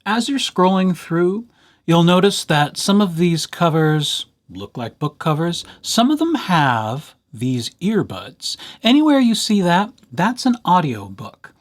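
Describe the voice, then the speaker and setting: Higher-pitched man giving an informal tutorial. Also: very animated, slow. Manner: conversational, lightly playful asides. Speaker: library instructor. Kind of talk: informal screen-share software tutorial.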